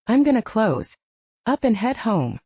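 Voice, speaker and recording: A woman's voice: a little high, very animated, a little slow, mellow, husky, no echo, muffled recording, pitched above the performer's own voice, heavily acted. A woman voicing an earnest, stubborn young male werewolf hero in an audiobook. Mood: casual. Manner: pleading, wry, sighing.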